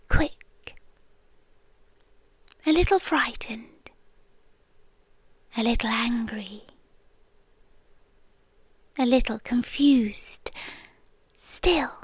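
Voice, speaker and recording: A British woman playing a possessive, sweetly menacing yandere siren in an ASMR roleplay, breathy and often whispering, with teasing, deliberate delivery. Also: fairly high pitch, no room sound, exaggerated voice. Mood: hushed, possessive. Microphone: close, dry microphone.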